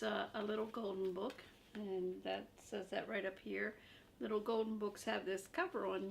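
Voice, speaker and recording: A woman's voice: medium pitch, vocal fry, slight background noise. An older woman, a grandmother, casually introducing and reading a picture book to children. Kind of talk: casual storytime read-aloud.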